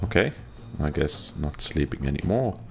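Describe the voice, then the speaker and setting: A man's voice: a bass voice, very animated, normal speed, vocal fry, noisy background. A man casually talking through his play of a role-playing game, thinking aloud with dry understatement. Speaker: gameplay video host. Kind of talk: casual commentary over gameplay. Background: game music.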